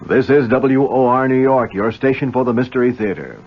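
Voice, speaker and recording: A man's voice: medium pitch, fairly quick. A man reading scripted station announcements as a radio announcer. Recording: muffled radio broadcast, faint noise.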